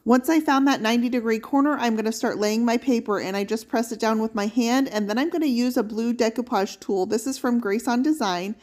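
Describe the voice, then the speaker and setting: A woman giving a steady, step-by-step instructional voice-over on painting furniture, upbeat about the products she uses. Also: fairly high pitch, fairly quick, hardly any pauses. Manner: steady, explanatory step-by-step walkthrough. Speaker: furniture painting channel host.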